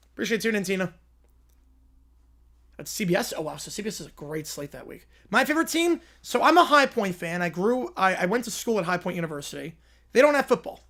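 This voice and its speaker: A man's voice: high-pitched, a bit bright. A man in his twenties from Long Island, casually calling a football game play by play on a livestream while chatting with viewers. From Long Island, New York. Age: 20s. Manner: chatty, answers viewer questions between plays. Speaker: livestream host and play-by-play commentator.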